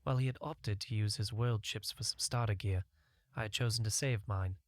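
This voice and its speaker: Male story narrator, lower register, dry recording, reading first-person fantasy fiction. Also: brisk, dry recording. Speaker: audiobook narrator.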